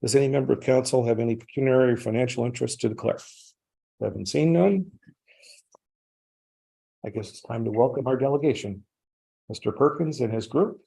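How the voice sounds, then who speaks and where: Gravelly, husky man chairing a meeting. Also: medium pitch, fairly quick, pauses often, mellow, low-quality recording. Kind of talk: informal chairing of a council meeting. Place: council meeting room.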